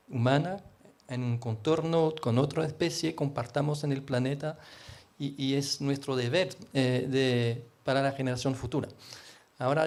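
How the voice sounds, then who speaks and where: A man with a foreign accent, speaking as a panelist in a radio forum, halting and searching for words. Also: medium pitch, a little mellow. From outside Chile.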